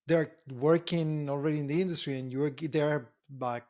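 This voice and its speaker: A man's voice: fairly high pitch, steady tone, moderate pace, darkish tone. A man from Costa Rica hosting an interview, speaking English in long flowing runs and asking questions. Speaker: interviewer, host.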